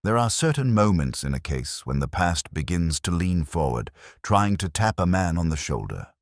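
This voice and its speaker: A man voicing a brooding, introspective police detective who recounts a case in measured, grave, literary first-person narration for a mystery audio drama. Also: low voice, big pitch swings, no echo, studio quality. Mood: pensive, grave.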